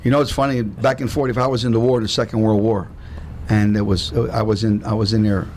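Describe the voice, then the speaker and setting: A man's voice: on the low side, flat delivery, darkish tone, a little hiss or hum. A man co-hosting a radio variety show as the resident chef, teasing and joking. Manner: rapid-fire teasing, jokey.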